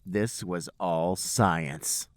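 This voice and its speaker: A man's voice: deep voice, extremely slow, dry recording, clean studio sound. A man, a podcast host, reading fan fiction aloud as a storybook-like narration.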